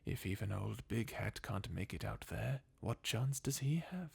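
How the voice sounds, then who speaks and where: A performer voicing a jaded, sardonic undead warrior from a fantasy video game, in a breathy, husky half-whisper with a weary, mocking manner. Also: mid-range voice, lively intonation, unhurried, heavily acted. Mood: sardonic, resigned. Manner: sardonic, weary, chuckling bleakly. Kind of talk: fan redub of video game dialogue, ASMR-like.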